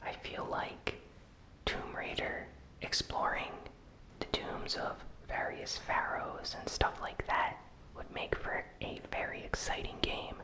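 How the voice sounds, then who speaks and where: The voice is a soft, breath-only whisper from start to finish. This ASMR host recaps gaming news at a slow, deliberate pace. Short phrases are set apart by small pauses. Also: low-quality recording.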